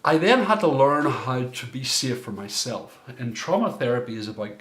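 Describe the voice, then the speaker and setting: A man's voice: mid-range voice, animated, normal speed, a bit bright. A middle-aged man from Ireland, a coach giving a recorded workshop talk in a reflective way, often searching for his words. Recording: roomy echo.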